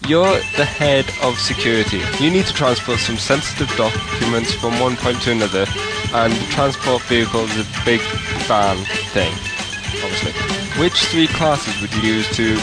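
A man's voice: medium pitch, normal speed, rough recording. A man recording a listener question for a show, laying out a scenario. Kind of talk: recorded message posing a scenario. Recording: loud music under the voice. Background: loud music.

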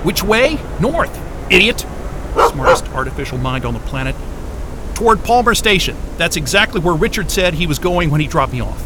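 A man's voice: a little high, big pitch swings, moderate pace, heavy background noise. A man playing an irritable, foul-mouthed astrophysicist at a polar station in a naturalistic audio drama, with a blunt, sarcastic, agitated manner. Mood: frantic, irritated.

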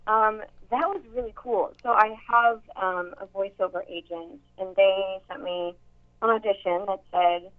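A young actress with a somewhat deep voice, chatting casually in an interview with many fillers and restarts. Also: female voice, unhurried. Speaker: actress and voice actor. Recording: tinny phone-like line.

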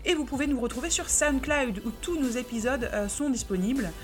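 A woman co-hosting a podcast, chatty and lively, reading announcements in a friendly casual way. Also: high-pitched, brisk, faint music behind. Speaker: podcast co-host. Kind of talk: casual chat and scripted outro.

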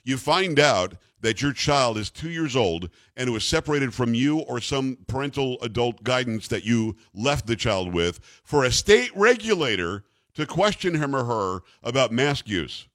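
A talk radio host, a man with a mid-pitched voice, who speaks bluntly and with emphasis. His studio sound is dry and close. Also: very animated, measured pace, few pauses, a bit bright, dry recording. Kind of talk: talk radio with live ad reads.

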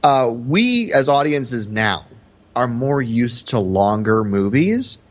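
A man's voice: medium pitch, big pitch swings, slow. A male podcast host in casual film discussion, talking emphatically in a conversational way. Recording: slightly dull, band-limited podcast audio.

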